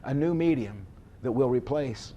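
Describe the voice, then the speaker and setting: An American man giving an informal history lecture, explaining deliberately like a storyteller. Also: mid-range voice, animated, warm tone, highs cut off, a little hiss or hum. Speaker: history instructor.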